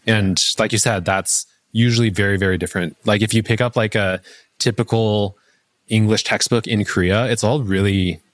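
A man answering interview questions in a measured, explanatory way, with many "like" fillers. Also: on the low side, steady tone, dry recording. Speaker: language learning app founder.

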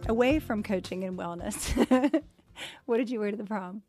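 A woman hosting a podcast in casual, chatty conversation, animated and quick to laugh. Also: medium pitch, faint music behind. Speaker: podcast host.

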